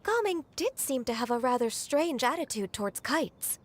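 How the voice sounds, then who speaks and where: A woman voicing a gentle, reassuring character in a game cutscene. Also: sits high, big pitch swings, normal speed, slight background noise.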